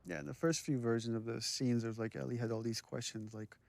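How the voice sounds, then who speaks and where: A man's voice: mid-range voice, fairly quick, creaky, a little mellow, no room sound. A man giving casual director's commentary on a game in a thoughtful, explanatory way.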